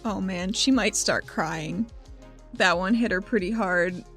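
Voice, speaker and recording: A woman's voice: medium pitch, measured pace, a bit bright, soft background music. A woman playing a tabletop game, improvising in a casual, somewhat tentative way. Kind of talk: casual improvised role-play.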